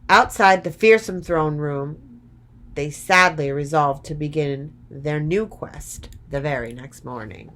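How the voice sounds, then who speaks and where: A woman narrating a children's story from a script, deliberately, phrase by phrase. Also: sits low, fairly bright tone, a little hiss or hum.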